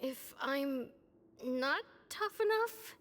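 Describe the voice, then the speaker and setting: A bratty little game boy, with a very high voice that swings up and down. He is whiny and reluctant and speaks slowly over light music. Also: expressive, a crowd in the background, full character voice. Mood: hesitant. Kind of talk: video game voice acting.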